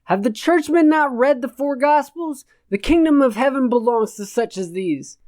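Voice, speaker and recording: A woman's voice: high voice, animated, normal speed, darkish tone, dry recording, voice pushed up. Storybook rogue preacher, mischievous and irreverent, grandly declaiming mock sermons, acted by a woman, in a dry recording. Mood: preaching. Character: irreverent, roguish cult preacher and jester Puck.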